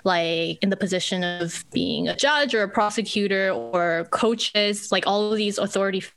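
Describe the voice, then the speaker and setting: A woman's voice: medium pitch, fairly bright tone. Thoughtful young woman in casual chat. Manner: thoughtful, measured, uses many fillers like "like".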